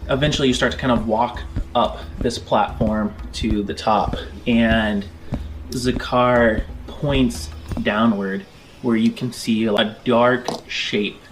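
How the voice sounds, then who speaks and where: A man narrating a tabletop game as game master, describing scenes in halting, improvised phrases. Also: mid-range voice, fairly even intonation, rough recording. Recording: background music under the voice. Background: music.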